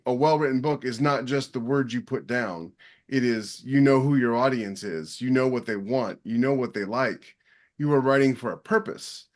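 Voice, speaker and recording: A man hosts a podcast interview in an informal, conversational way. His voice sits at a middle pitch. He makes his points with emphasis and often rewords them as he goes. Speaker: podcast host. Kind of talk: casual interview discussion.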